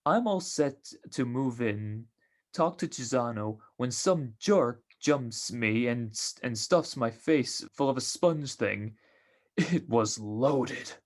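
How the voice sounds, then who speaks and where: An actor playing a greedy, none-too-bright small-time tough guy in an old-time radio crime drama, talking in streetwise slang. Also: mid-range voice, expressive. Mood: eager, blunt. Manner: drawn-out streetwise gangster slang.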